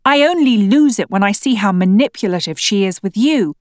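A woman voicing a furious stepmother arguing with her husband, sharp and insistent. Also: mid-range voice, animated, a little fast, no echo, studio quality, lightly acted. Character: furious defensive stepmother in a family fight.

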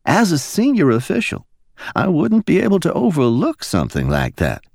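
A man voicing a poised woman security officer who speaks softly and persuasively in a silky Alabama drawl. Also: on the high side, wildly swooping pitch, normal speed, gravelly, no echo, studio quality, voice pushed up, full character voice. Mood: silky, insistent. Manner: silky, soft-spoken.

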